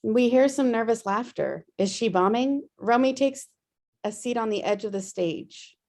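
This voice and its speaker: A woman's voice: fairly high pitch, normal speed, darkish tone. A woman hosting a table read as its writer, introducing it informally and reading out stage directions.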